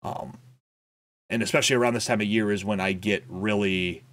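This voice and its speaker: A young man talking candidly in a personal vlog update, reflective and halting, with frequent fillers and restarts, recorded indoors in a small home room on a desk microphone. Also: lowish voice, fairly even intonation, fairly bright tone. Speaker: content creator. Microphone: boom-arm desk mic, wearing headphones.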